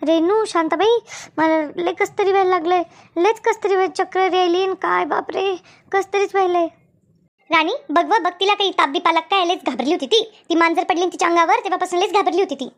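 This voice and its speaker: A woman voicing an excitable, easily frightened village woman in a cartoon comedy, with a rural Maharashtrian accent and a chattery, repetitive way of talking. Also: very high-pitched, fairly quick. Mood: worried. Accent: rural Maharashtrian Marathi.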